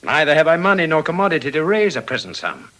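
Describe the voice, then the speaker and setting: An actor with a British accent playing a devoted, generous Venetian merchant in firm, declamatory classical Shakespearean style. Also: male voice, a little high, very animated, fast talker, fairly bright tone. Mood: resolute.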